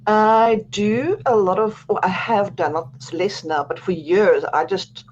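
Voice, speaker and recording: A woman's voice: medium pitch, a little slow, dull audio, slight background noise. A woman with a South African accent, speaking as an author guest on a radio interview, chatty and self-deprecating, laughing often.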